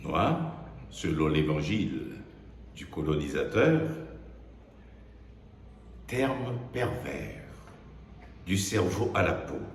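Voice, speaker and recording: Deep-voiced man reciting solemn poetry, heavy reverb. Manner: deliberate, declamatory, with weighty pauses. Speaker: poet. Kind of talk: solemn dramatic spoken-word poetry recitation. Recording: echoey hall-like reverb, background noise.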